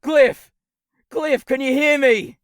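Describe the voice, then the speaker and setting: A man's voice: very high-pitched, steady tone, slow pace, dry recording, studio quality. A man playing an anxious, caring robot crew member in an audio drama, urgent and emotional. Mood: desperate.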